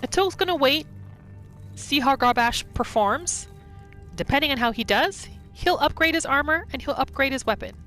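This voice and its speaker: A woman's voice: high voice, expressive, normal speed, fairly bright tone. A woman gaming YouTuber giving casual, story-like commentary as she narrates a roleplay playthrough. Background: game music.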